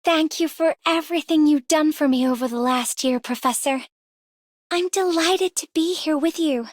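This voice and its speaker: A woman voicing a gentle, devoted young researcher in a video game, polite and earnest. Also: high-pitched, moderate pace, no room sound, clean studio sound, natural voice. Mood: grateful. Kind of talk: video game character voice lines.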